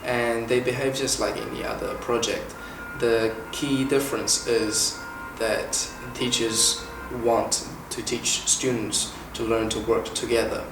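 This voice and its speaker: Twenties guy from china asking questions and explaining stuff, lots of uh pauses, sounds a bit far from the mic in a roomy space. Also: mid-range voice, flat delivery, vocal fry, over music. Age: 20s. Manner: measured, with frequent "uh" pauses. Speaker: interviewer and former international student. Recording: echoey room, mic at a distance, faint hiss.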